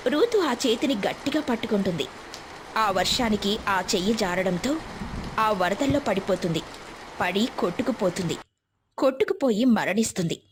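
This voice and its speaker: A woman's voice: mid-range voice, expressive, moderate pace, noisy background. A woman narrating a scripted moral story as a storyteller.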